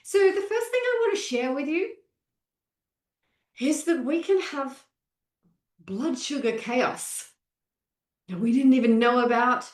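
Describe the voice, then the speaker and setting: An upbeat woman in her forties leads a live online training as a nutrition coach and greets viewers by name. Her voice sits fairly high. The sound carries the echo of a bare room. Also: lively intonation, slow, long pauses. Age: 40s.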